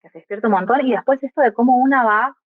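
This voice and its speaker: A woman's voice: on the high side, fast, no room sound. Woman with an Argentine accent on a tinny line. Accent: Argentine Spanish. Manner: thoughtful, often adds tag questions. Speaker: guest, migrant mother. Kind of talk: reflective podcast conversation.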